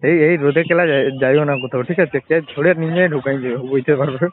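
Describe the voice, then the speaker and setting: A young man talks quickly in a fairly high voice. His manner is casual and teasing, needling with persistent questions. It sounds like a tinny phone call. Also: background noise. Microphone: telephone.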